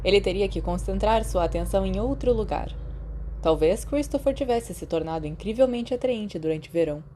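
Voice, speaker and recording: A woman's voice: mid-range voice, expressive, a little fast, dark tone, a little hiss or hum. A woman with a Brazilian Portuguese accent reading a scripted story as an audiobook-style narrator. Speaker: podfic narrator. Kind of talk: scripted fiction narration with acted dialogue.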